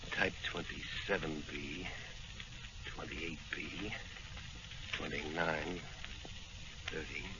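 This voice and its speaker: A man's voice: low-pitched, highs cut off. A man playing a suave, confident crime-fighting hero with a gallant, declamatory delivery in 1940s radio serial style. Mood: brisk, self-assured. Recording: noisy, band-limited old radio recording.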